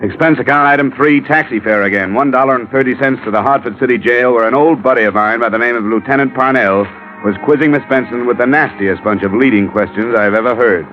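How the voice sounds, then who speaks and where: A man's voice: mid-range voice, quick pace, close to an everyday voice. A man playing a dry, wisecracking insurance investigator in a 1950s radio detective drama. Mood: dry narrating. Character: wry, unflappable hard-boiled insurance investigator. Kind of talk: 1950s radio detective acting and scripted narration. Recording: dull old radio recording, music behind.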